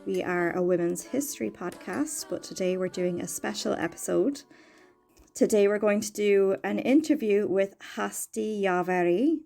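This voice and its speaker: A woman's voice: mid-range voice, normal speed, gravelly, mellow, faint music behind. A woman hosting a podcast, introducing the show and asking questions in a friendly, plainspoken way. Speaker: podcast host. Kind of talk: podcast interview.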